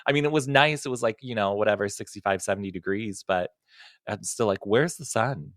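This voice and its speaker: A man's voice: fairly low pitch, brisk, a bit bright, dry recording, studio quality. A man co-hosting a casual podcast, effusive and campy, calling people "honey.". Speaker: podcast co-host. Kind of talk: casual chat. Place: Los Angeles.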